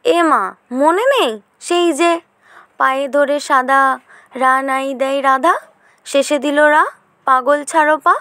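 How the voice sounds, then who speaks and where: A curious, imaginative big sister played by a woman in a suspense audio play. Her voice is high and girlish, excitable and chatty. The sound is close and dry. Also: slow, pauses often, no room sound, exaggerated voice. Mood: excited. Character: curious, imaginative older sister, a young girl. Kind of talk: Bengali audio drama acting.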